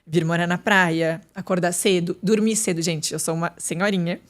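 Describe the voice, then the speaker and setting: A woman chats openly as a podcast guest. Her voice sits a little low for a woman, and she talks loosely, with plenty of fillers and restarts. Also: fairly even intonation, normal speed. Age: 30s. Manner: candid, chatty, with many fillers and restarts. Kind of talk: casual interview chat.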